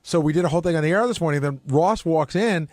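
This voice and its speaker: A man's voice: on the high side, fast talker, a little mellow, no echo. A man in a casual panel discussion, telling stories conversationally and quoting exchanges. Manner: conversational storytelling, acting out quoted exchanges. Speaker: radio show staff member.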